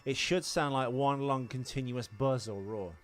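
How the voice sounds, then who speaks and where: A man's voice: medium pitch, normal speed. Man rambling through casual film trivia. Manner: rambling, easily sidetracked storyteller. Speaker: podcast co-host.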